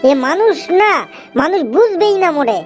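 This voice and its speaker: A very high, small cartoon voice plays a talkative young friend. The speech is in a homely eastern Bengali dialect. The delivery is earnest and emphatic, like someone lecturing a pal. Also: animated, a little fast, soft background music. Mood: indignant, scolding. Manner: preachy, emphatic, colloquial. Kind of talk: cartoon dialogue with moral advice.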